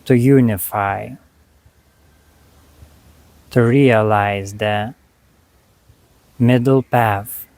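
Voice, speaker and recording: A man leading a guided meditation, speaking to the listener in short, unhurried phrases with long pauses between them. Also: lowish voice, fairly even intonation, a little mellow, no room sound.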